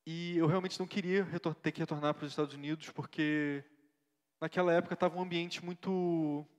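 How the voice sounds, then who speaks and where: A man's voice: a little high, fairly even intonation, brisk, natural voice. A man playing an earnest young missionary pastor, telling his life story in a staged interview. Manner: earnest, reflective storytelling with frequent tag questions. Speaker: interviewee in a church play. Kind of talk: staged interview, church theatre. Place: church hall. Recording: reverberant church hall.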